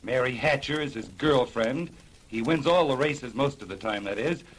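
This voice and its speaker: A man's voice: medium pitch, normal speed, husky. A man as an interview guest, trading scripted old-time radio banter on a vintage recording. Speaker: interview guest on a film set. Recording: noisy vintage mid-century radio recording.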